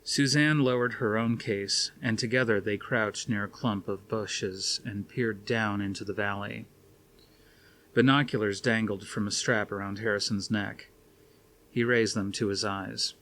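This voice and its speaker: A man's voice: lowish voice, fairly even intonation, measured pace, fairly bright tone, slight background noise. A man reading a scripted story aloud as an audiobook narrator. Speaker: audiobook reader.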